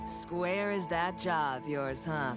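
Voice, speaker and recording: A cocky mercenary woman for a video game. Her voice sits low, and she speaks with dry sarcasm and easy dismissiveness. Clear music plays behind her. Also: takes it slowly. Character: cocky, sardonic, money-loving mercenary woman warrior. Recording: background music under the voice.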